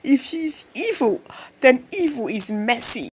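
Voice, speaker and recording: A woman storyteller acts a grateful, brave young village girl in a folktale. She cries out earnestly in a Nigerian accent. Her pitch is lively and expressive. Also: a little slow, breathy edge, full character voice. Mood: earnest pleading. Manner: crying out, earnest.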